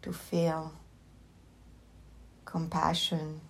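A woman with a low voice leads a guided meditation at a very slow pace. She gives gentle instructions as a teacher would, leaving long pauses between short phrases. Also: monotone, vocal fry.

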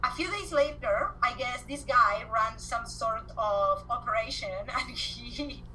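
Bright-voiced woman with an Argentine accent. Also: on the high side, measured pace, slight background noise, poor audio. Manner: animated storyteller, repeats words when excited. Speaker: guest entrepreneur. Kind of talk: podcast interview.

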